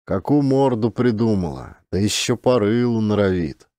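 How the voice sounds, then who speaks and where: A man's voice: fairly low pitch, animated, moderate pace, mellow, no room sound, studio quality, close to an everyday voice. A man voicing a simple, good-natured, grumbling peasant hunter with rustic, folksy speech, in an audiobook. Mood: reproachful grumbling.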